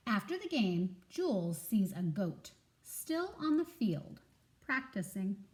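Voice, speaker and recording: Slow-paced woman teacher reading aloud. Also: mid-range voice. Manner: expressive, enunciated, prompting listeners with questions. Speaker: teacher and storybook reader. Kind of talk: children's read-aloud with teaching asides.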